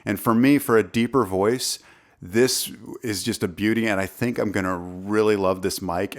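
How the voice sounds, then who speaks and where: A man with a deep voice talking casually to camera about songwriting, rambling with fillers and restarts, close on a studio condenser mic. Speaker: songwriter vlogger.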